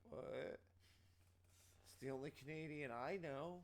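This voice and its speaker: A man's voice: on the high side, unhurried, a little hiss or hum. A man hosting a casual live-stream movie night, bantering and teasing his guest.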